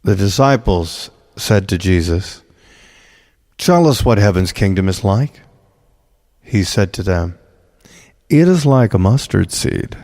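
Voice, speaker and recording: Male reader for sacred text: lowish gravelly voice, solemn and deliberate, heard in a long cavernous reverb. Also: lively intonation, dark tone. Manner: measured, deliberate, dramatic phrasing. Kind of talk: solemn scripted reading of scripture.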